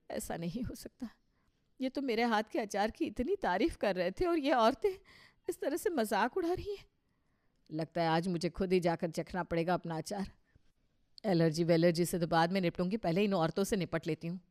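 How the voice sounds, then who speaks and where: A woman's voice: medium pitch, lively intonation, moderate pace, a little mellow, natural voice. A woman voicing a proud, bossy middle-aged mother-in-law in a cartoon story, with a scolding, emphatic delivery. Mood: indignant.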